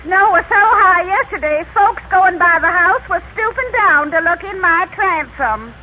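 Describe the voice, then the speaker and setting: A woman's voice: very high voice, lively intonation, measured pace, sharp tone, muffled recording, full character voice. A woman acting a folksy, complaining housewife in a 1930s radio comedy. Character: chilled, folksy married woman with deadpan comic complaints. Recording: noisy old 1930s radio recording.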